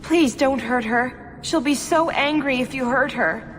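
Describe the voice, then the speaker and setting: An actress playing a timid, innocent young servant slave in a video game, meek and naive in manner. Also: female voice, high voice, a little slow, fairly bright tone, a little hiss or hum. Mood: anxious, pleading. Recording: long echoing reverb, music underneath.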